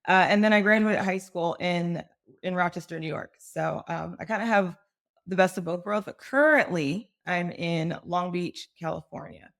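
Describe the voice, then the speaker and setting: A woman's voice: on the low side, moderate pace, creaky, fairly bright tone. An American woman in her forties from the Midwest, chatting casually and self-deprecatingly as a podcast guest. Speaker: podcast guest, marketing professional. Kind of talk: casual storytelling in an interview.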